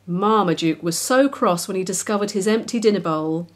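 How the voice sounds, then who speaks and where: A woman's voice: mid-range voice, moderate pace. A woman narrating a children's story expressively as its author.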